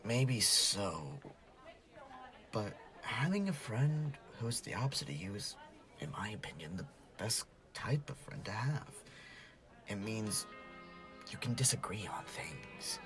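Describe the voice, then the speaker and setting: Man playing a slow, deep-voiced philosophical friend. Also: very animated, full character voice. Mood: musing, sincere. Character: easygoing, philosophical, brotherly teenage friend. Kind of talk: audio drama acting. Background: music.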